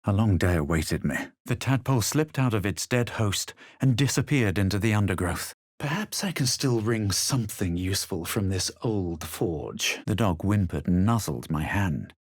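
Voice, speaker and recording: A man playing a haughty, self-assured young adventurer in a fantasy video game, speaking in a measured, theatrical way. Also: fairly low pitch, animated, dry recording, studio quality. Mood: measured, grave. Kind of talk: dramatic video game voice lines and narration.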